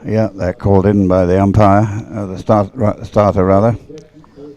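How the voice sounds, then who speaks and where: A man's voice: fairly low pitch, flat delivery, moderate pace, a little mellow. A man giving unscripted live sports commentary in a hesitant, rambling way, as if thinking aloud.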